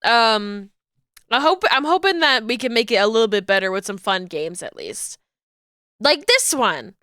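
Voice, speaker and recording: A woman's voice: a little high, very animated, normal speed, sharp tone, clear, resonant tone, dry recording, studio quality. A woman streamer with a cold, sounding congested, chatting casually and rambling before playing a game. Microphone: desk microphone.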